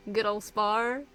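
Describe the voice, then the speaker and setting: A woman's voice: mid-range voice, a bit bright, smooth. A woman streamer chatting casually and laughing as she reacts to a game. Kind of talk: casual gameplay commentary.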